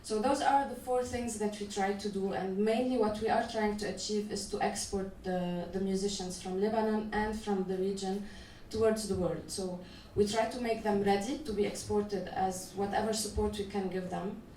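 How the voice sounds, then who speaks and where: A woman festival organizer speaks on a conference panel in a measured, halting way, stopping often on "uh." Her pitch sits in the middle range. She is recorded from a distance in an echoey hall with faint noise behind her. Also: fairly even intonation, warm tone. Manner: measured, halting, frequent "uh" restarts.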